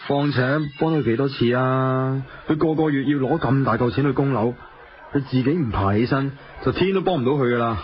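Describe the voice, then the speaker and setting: Casting: male actor for level-headed Hong Kong pal in radio drama, average pitch, resigned sighs, slightly lo-fi audio. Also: a little fast, a little hiss or hum, natural voice. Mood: pragmatic, sceptical. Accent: Hong Kong Cantonese. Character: sighing, pragmatic male friend, sceptical but caring. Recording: dull lo-fi radio audio with faint music.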